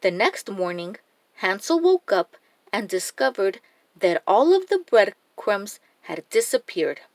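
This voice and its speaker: A woman reads a children's story aloud, taking her time over each phrase. Her pitch moves in a lively way and the sound is close and dry. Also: no echo. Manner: deliberate, expressive. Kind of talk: expressive scripted storybook narration.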